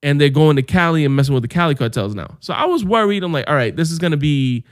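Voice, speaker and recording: A young man co-hosting a podcast, ranting about what's on and swearing freely. His voice sits fairly high and the close mic makes it dry, with little room sound. He keeps laughing as he talks. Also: lively intonation, fast talker, no echo. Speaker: podcast co-host. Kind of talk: casual chat.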